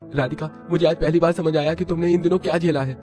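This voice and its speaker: A man's voice: on the high side, fairly even intonation, fast talker, mellow, faint music behind, voice pushed up, lightly acted. A man playing an earnest, reassuring young husband in a cartoon, remorseful and supportive.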